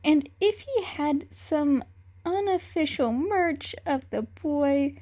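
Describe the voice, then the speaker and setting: A woman's voice: high-pitched, expressive, takes it slowly, warm tone. A woman reading scripted fan fiction as a storyteller.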